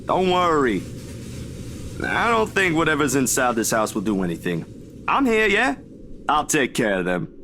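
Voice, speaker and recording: A man's voice: mid-range voice, big pitch swings, moderate pace, pauses often, bright, forceful tone, a little hiss or hum. An actor playing an easygoing, cheeky young man in a visual novel, casual, teasing and reassuring.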